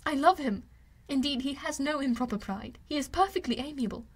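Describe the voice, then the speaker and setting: A woman's voice: sits high, lively intonation, brisk, pitched above the performer's own voice. Woman acting an earnest, pleading young woman. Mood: tearful, pleading. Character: earnest young woman, tearful and devoted in love. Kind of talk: audiobook character voice.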